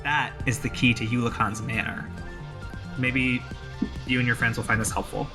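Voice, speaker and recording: A man's voice: medium pitch, steady tone, moderate pace, over music, lightly acted. A man playing an earnest, flustered brother in a tabletop game, speaking hesitantly and stammering. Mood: earnest, apologetic.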